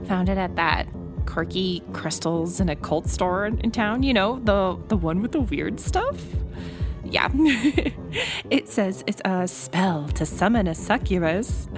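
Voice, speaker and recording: A woman acts out a friendly, giggly party host in a casual audio drama. Her voice is very animated in pitch but takes its time, joking informally with music playing clearly behind her. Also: slow pace.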